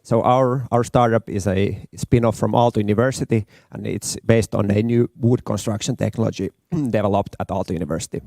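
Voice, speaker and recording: Low mellow man, startup co-founder, relaxed, dry sound. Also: fairly quick, vocal fry, hardly any pauses, dry recording. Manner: relaxed, repeats words. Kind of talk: panel talk. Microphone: handheld.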